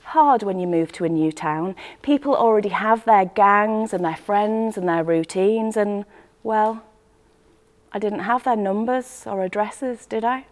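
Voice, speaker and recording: A woman acting a first-person monologue as a lonely, wistful choir member, intimate and expressive in short clipped phrases. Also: medium pitch, unhurried, close to an everyday voice. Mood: wistful, subdued.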